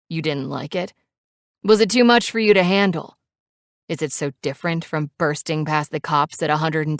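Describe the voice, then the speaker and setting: An angry, cold and domineering male biker played by a woman narrator in an audiobook. He speaks in a low register and hammers out his words confrontationally, in a very dry recording. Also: no room sound. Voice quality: gravelly roar when angered.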